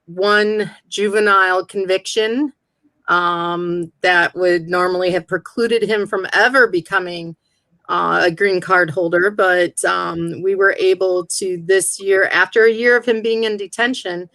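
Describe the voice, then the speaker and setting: A woman with a lowish voice who speaks in a measured, self-deprecating way. Her audio is dry and close, with no room sound, as she talks over a video call. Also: fairly even intonation, fairly bright tone, dry recording, rough recording. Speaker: game show contestant, immigration attorney. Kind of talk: casual self-introduction.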